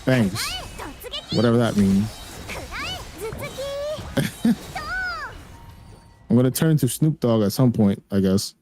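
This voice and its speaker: A man's voice: mid-range voice, expressive, takes it slowly, pauses often, dark tone, soft background music. A young man, a native Spanish speaker, chatting casually as a gaming streamer in a blunt, slangy way and swearing freely. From raised by a Spanish-speaking family, living in the US.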